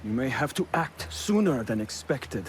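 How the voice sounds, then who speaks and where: A man's voice: mid-range voice, sing-song, normal speed, a little hiss or hum, natural voice. An actor playing a formal, grave political envoy in a video game, cautioning his listener. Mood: grave, warning. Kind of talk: dramatic video game acting.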